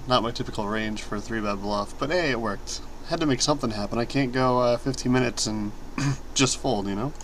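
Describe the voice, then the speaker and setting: A young man talks casually through his online poker hands at a desk mic, rambling and poking fun at himself. His voice sits at an ordinary middle pitch, and he clears his throat now and then. A faint hiss of background noise stays under the recording. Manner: relaxed, rambling, self-deprecating, clears throat often. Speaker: poker streamer. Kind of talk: casual commentary over online poker play.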